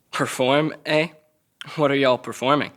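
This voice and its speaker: A man's voice: mid-range voice, a bit bright. A man playing a guarded, defiant young suspect under questioning at a drama table read, curt and slangy.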